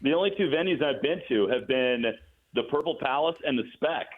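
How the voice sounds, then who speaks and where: A man speaking as a guest announcer in a casual sports radio interview, thinking aloud with halting, repeated words. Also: mid-range voice. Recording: muffled phone-like line.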